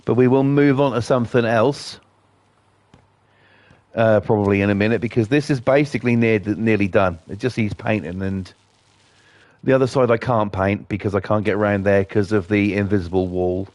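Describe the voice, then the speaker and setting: A man hosting casual gameplay commentary, blunt and defiant, his voice croaky from a cold. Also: lowish voice, steady tone, normal speed, darkish tone, no echo. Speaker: gaming channel host.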